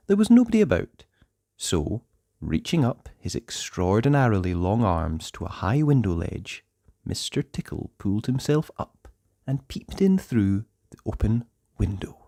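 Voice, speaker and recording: A man narrating a children's storybook aloud in a playful way, with dramatic pauses and emphasis. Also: medium pitch, big pitch swings, mellow, dry recording, studio quality.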